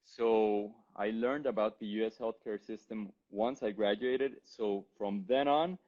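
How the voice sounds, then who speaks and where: A man's voice: on the low side, steady tone, unhurried. A man in his thirties with a Costa Rican accent, answering interview questions politely and somewhat formally over a video call. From Costa Rica. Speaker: interview guest, physician. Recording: dull, lo-fi call audio.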